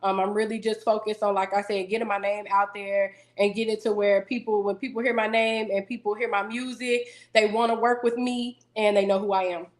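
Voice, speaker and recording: A woman singer interviewed as a guest, talking casually in a self-assured, businesslike way with a deeper voice. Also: level tone, brisk, hardly any pauses. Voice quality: deeper singing voice.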